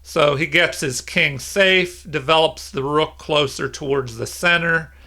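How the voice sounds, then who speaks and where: A middle-aged man giving an unscripted tutorial as an instructor, explaining things in a measured way. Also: a little high, gravelly, pushed and bright. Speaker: chess instructor. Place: small room indoors at home.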